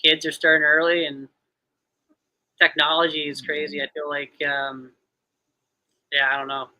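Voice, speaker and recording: A man in his thirties in casual chat, talking in a mellow, hesitant way with many fillers. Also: a little high, little pitch movement, moderate pace, poor audio. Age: 30s.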